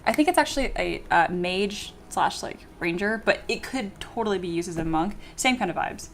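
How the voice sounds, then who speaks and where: A woman's voice: medium pitch, moderate pace, a little hiss or hum. Bubbly young woman streamer. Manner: bubbly, excitable, laughs often, reads chat aloud. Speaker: streamer, 3D sculptor. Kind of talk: casual livestream chat with viewers.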